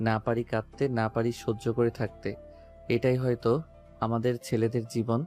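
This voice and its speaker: A man's voice: mid-range voice, completely flat delivery, a little fast, a little mellow, soft background music, natural voice. A man playing a weary, meek young office tea boy in an audio story drama, downcast and resigned.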